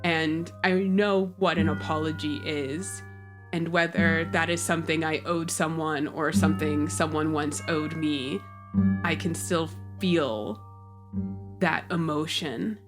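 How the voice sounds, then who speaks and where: A woman playing a tabletop game, narrating her character's actions in a measured, pensive way. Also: sits low, fairly even intonation, music in the background.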